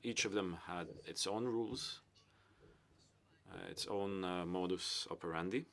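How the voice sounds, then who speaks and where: A man giving simultaneous English interpretation at a conference panel, with frequent hesitant pauses and fillers. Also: low-pitched, creaky.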